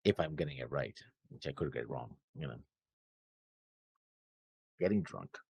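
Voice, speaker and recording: A man's voice: very deep voice, lively intonation, measured pace, vocal fry, a little mellow, slightly rough, dry recording. A man co-hosting a reaction show, defending himself and hedging as his friend teases him. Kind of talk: casual banter reacting to anime.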